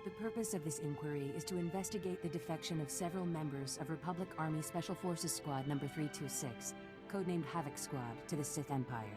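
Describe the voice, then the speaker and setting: A woman plays a formal galactic senator in a video game. Her voice is very low and composed. Music is clearly heard behind her. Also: a little fast, lightly acted. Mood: formal, grave.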